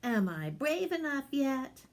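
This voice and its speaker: A woman's voice: high voice, sing-song, slow, pitched above the performer's own voice, heavily acted. A woman voicing a small, eager young male puffin chick who keeps asking questions.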